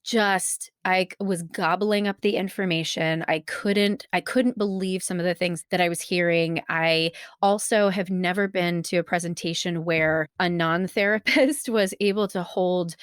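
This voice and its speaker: Effusive woman podcast host, low voice. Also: unhurried, clean tone, no echo, studio quality. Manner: warm, effusive and chatty, laughs easily. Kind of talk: casual interview. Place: home, during lockdown.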